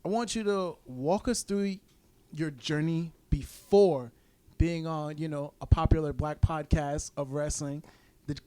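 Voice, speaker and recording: Man, young adult, podcast host, high voice, casual joking chat. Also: unhurried, warm tone, clear, resonant tone. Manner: hype-man intro, loose and joking.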